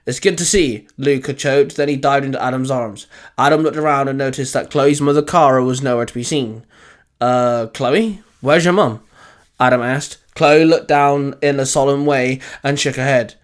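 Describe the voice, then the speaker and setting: A man hosting and narrating a fan fiction story in a dramatic, deliberate, emphatic scripted style. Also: medium pitch, flat delivery. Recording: music under the voice. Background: music.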